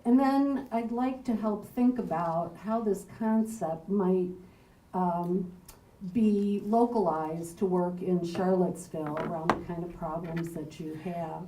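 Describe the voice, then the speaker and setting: A woman in her sixties from Chicago, a housing consultant, giving a chatty, self-deprecating panel presentation in a loud voice. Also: lowish voice, measured pace, a little hiss or hum. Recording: roomy hall, distant mic.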